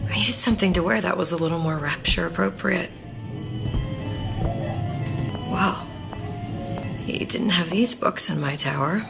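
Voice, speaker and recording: A woman's voice: lowish voice, moderate pace, vocal fry. An actress playing a haunted, determined young woman in a video game, clever and hesitant as she thinks aloud. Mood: pensive, troubled. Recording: game audio under loud music.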